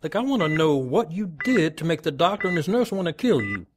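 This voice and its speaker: A police lieutenant, stern and fed up, with a fairly high voice. His pitch jumps around a lot as he presses his questions in a noir radio drama. The track has clear noise behind it. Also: very animated, brisk. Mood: exasperated. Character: exasperated, gruff male police lieutenant. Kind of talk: noir audio drama dialogue.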